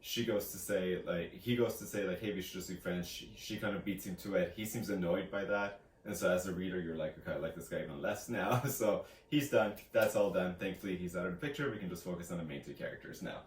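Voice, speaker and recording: Low-voiced man rambling casually in echoey room. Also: fast, hardly any pauses. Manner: rambling, hesitant, quoting characters in casual asides. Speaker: co-host of a book discussion vlog. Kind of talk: casual chat, retelling a novel's plot. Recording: echoey room, faint background noise.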